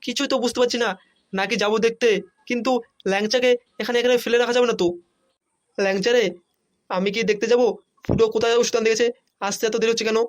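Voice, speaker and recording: A man's voice: very high voice, steady tone, fast, long pauses. A man voicing a worried, fretful young fellow in a comic cartoon, full of questions. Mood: worried, impatient. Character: worried young male friend waiting anxiously.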